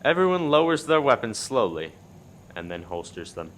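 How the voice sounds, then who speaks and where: A man playing the narrator of a farcical radio play, reading scripted stage action in a deadpan way. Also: mid-range voice, normal speed. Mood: deadpan narrating.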